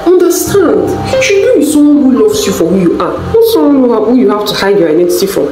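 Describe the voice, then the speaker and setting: A woman's voice: medium pitch, big pitch swings, fairly quick, voice pushed up, natural voice. A woman storyteller voicing a proud, self-justifying bride-to-be in a dramatic, defensive manner. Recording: roomy echo, music bed.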